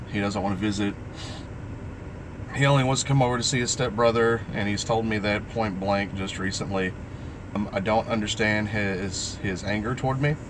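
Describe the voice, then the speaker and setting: Man, deep pitch, middle-aged, reflective, halting delivery, live-stream vlog, noticeably noisy recording. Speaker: vlogger.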